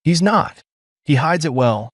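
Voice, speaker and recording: A man voicing a weary but proud alien mother, gentle and firm, with measured, formal phrasing in an audiobook. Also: fairly high pitch, animated, no room sound, clean studio sound, voice pushed up. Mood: quiet pride. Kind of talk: audiobook character dialogue.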